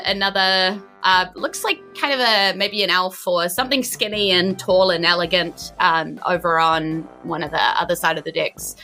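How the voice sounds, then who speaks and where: A woman's voice: fairly low pitch, bright, forceful tone. A woman with a New Zealand accent improvising narration as game master of a tabletop game, in a casual, informal manner. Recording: music playing behind the voice. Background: music.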